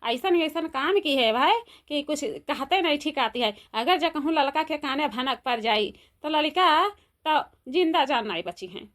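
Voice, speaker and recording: A woman's voice: high voice, lively intonation, measured pace, fairly bright tone. A woman with an Awadhi accent playing a scolding older village mother-in-law in a comedy cartoon, firing repeated rhetorical questions. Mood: agitated, threatening.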